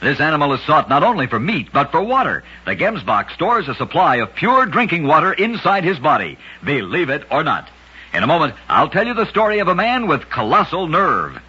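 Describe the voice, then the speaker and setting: Man, vintage radio narrator, slightly high voice, lively intonation, dramatic scripted storytelling, lo-fi old recording. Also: brisk, breathy edge.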